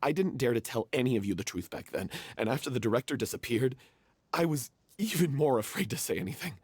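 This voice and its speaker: A remorseful young stage actor in a game, husky and dry-sounding with a voice that swings widely in pitch. He stammers through a confession and ends in self-loathing despair. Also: expressive, fast, a little mellow, no room sound. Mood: guilty, hesitant. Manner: hesitant confession breaking into anguish. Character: guilt-ridden young male stage actor. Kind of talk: game voice acting.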